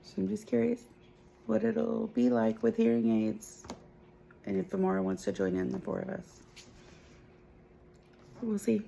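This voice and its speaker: A woman filming a casual home vlog about her family, speaking softly and quietly into a phone camera held close. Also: level tone, unhurried, vocal fry, long pauses, a little hiss or hum. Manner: soft, low-volume talk that others struggle to hear. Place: at home, partly in bed.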